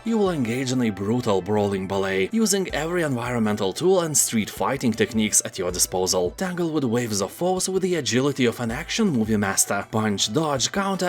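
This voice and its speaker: A man hosting a rundown of game deals in scripted voice-over style. His mid-pitched voice moves lively up and down, and his delivery is wry, full of joking asides. Faint music runs behind him. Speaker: game deals video host.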